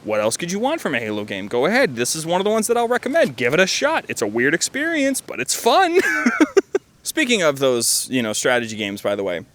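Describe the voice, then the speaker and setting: A young man giving a casual, conversational game review, rambling loosely with wry deadpan asides. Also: on the high side, a little fast. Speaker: gaming video host. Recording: loud music behind the voice. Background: music.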